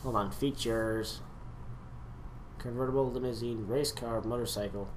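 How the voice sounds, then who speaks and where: A man hosting a casual let's-play, reading game text aloud and commenting excitably. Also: medium pitch, measured pace, slight background noise. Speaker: host of a gaming video.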